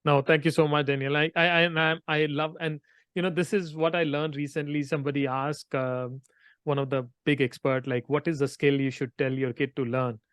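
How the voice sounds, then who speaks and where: A man from India hosting an interview podcast, talking in an earnest, halting way and often repeating words. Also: a little high, fairly even intonation, fairly bright tone, clear, resonant tone, no room sound, studio quality. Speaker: podcast host.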